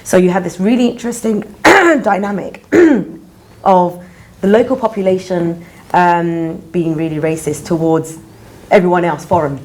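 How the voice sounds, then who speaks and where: A woman speaking informally on a panel at a live event, explaining things and often clearing her throat. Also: lowish voice, unhurried, darkish tone. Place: indoor public exhibition discussion. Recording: background noise of live event.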